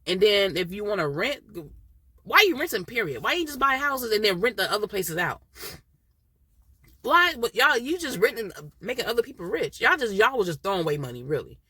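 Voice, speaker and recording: This is a woman with a bright, crisp voice who sounds stuffy from a cold. She chats loosely and laughs often, and the recording sounds dry and close. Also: mid-range voice, very animated, a little fast, no room sound. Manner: chatty, laughs often, loose slangy talk. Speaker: reality TV reviewer. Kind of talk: casual chat.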